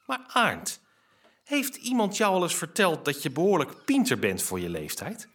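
A man acts the father of a medieval household in an audio drama. He is hospitable and wry, with a very lively, varied intonation. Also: a little high, normal speed, heavily acted. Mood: amused, wry.

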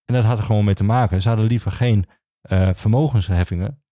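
A man the explains history as an interview guest, haltingly searching for his words. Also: on the low side, dark tone, dry recording, studio quality. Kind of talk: explanatory conversation for a history podcast.

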